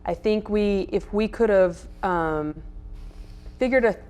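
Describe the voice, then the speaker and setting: A woman coach giving measured, somewhat hesitant answers as an interview guest. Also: medium pitch, a little mellow, smooth.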